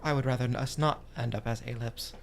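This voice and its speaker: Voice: mid-range voice, fairly even intonation, quick pace, slight background noise. A player talking casually in an improvised tabletop fantasy game.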